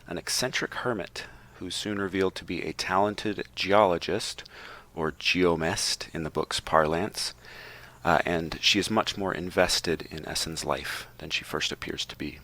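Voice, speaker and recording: A man's voice: low-pitched, moderate pace, pushed and bright. A man on a podcast reading a prepared book summary aloud, with a wry, tongue-in-cheek delivery and joking asides.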